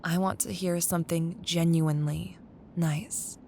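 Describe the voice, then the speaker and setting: A woman playing a caring, wistful young childhood best friend in an intimate, gently teasing ASMR roleplay. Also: fairly even intonation, creaky. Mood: tender. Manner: tender, unhurried, teasing. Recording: audible low rumbling background noise.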